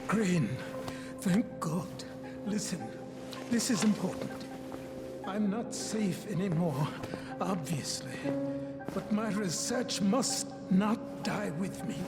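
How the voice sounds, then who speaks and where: Elderly scientist: high, slow, halting delivery, earnest and fearful, video game drama. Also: male voice, lively intonation, loud music behind the voice, heavily acted. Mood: anxious, urgent. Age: older. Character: frightened, earnest, stubborn old male doctor and researcher. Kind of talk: video game cutscene acting.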